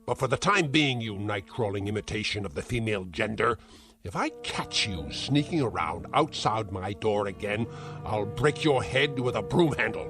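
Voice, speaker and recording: An actor playing a spiteful, cruel old uncle who hurls theatrical insults, in a radio-style drama. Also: male voice, lowish voice, expressive, normal speed, a bit bright. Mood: scornful. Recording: music score behind.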